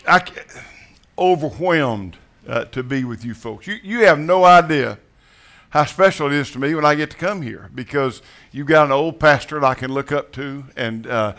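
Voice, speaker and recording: A Southern preacher from Mississippi with a bright, somewhat high voice tells down-home jokes to a church crowd. He stumbles and restarts, repeats his words, and chuckles through his stories. His tone stays loose and folksy, like a guest in the pulpit. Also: measured pace. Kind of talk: folksy storytelling sermon with jokes. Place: church sanctuary with congregation.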